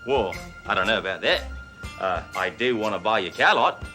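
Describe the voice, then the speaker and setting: A man voicing a brash, boastful rich man with a put-on Australian accent, talking in loud swaggering bluster. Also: on the low side, animated, moderate pace, music in the background, lightly acted. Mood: flirty. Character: brash fake-Australian male tycoon, boastful.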